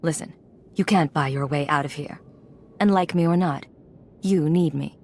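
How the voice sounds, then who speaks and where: A lowish-voiced female agent leading a crew in a video game. She is stern and composed, with terse, commanding delivery over faint noise. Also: normal speed, darkish tone. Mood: firm.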